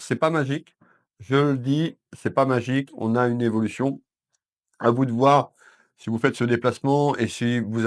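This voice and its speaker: A man from France with a head cold giving a calm weekend card reading to viewers from his consulting office. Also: medium pitch. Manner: calm, addresses viewers as "mes belles âmes", with frequent fillers. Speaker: psychic card reader. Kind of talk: weekend tarot reading to camera.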